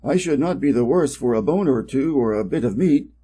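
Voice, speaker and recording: A man's voice: medium pitch, animated, fairly quick, warm tone, husky. A man with an American accent voicing a sorrowful, worn-out old hunting dog in a storybook, in a lamenting manner. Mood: hungry.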